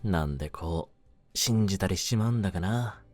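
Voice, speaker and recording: A man playing a world-weary, lonely young mafioso in an intimate roleplay audio, speaking in a low voice with rough streetwise phrasing. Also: moderate pace, no echo, faint music behind, lightly acted. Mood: gentle, lonely. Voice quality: low-pitched voice. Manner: rough, streetwise masculine speech, brooding and soft-spoken. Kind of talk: intimate Japanese situation-voice roleplay, ASMR-like.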